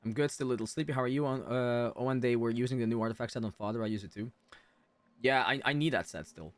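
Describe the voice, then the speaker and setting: A man chatting casually and a little haltingly on a gaming livestream. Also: mid-range voice, a little hiss or hum. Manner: informal, halting, chatting with viewers. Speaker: game streamer. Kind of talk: casual livestream chat over gameplay.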